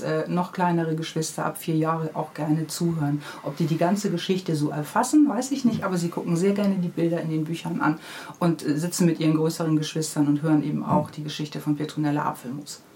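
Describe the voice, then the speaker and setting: Middle-aged female author, lowish voice, friendly conversational storytelling in an interview, some background noise. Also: steady tone, brisk, dark tone. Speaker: interviewed children's book author. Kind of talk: casual interview, explaining and storytelling. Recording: clearly audible background noise.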